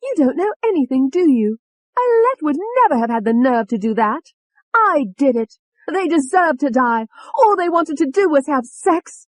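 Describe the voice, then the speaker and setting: Audiobook villain: a high-pitched, sneering young woman, volatile and furious, swooping wildly. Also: sing-song, normal speed, hardly any pauses, dry recording. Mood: sneering boast. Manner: sneering, taunting, explosive. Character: furious, taunting young British woman, a murderous alter personality.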